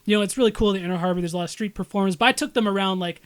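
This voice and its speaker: A young man from Canada co-hosting a podcast, telling a travel story in a rambling, excited way, swearing freely and filling his talk with "like.". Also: high voice, racing, clean tone. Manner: excited, rambling, heavy swearing, many "like" fillers. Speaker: podcast co-host. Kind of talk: casual chat.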